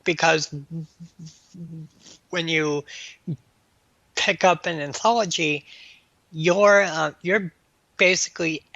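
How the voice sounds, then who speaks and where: A man speaking as an interview guest in casual conversation, with a halting, choppy delivery and stammered word starts. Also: a little high, sharp tone, no echo, lo-fi sound. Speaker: interview guest, author and editor.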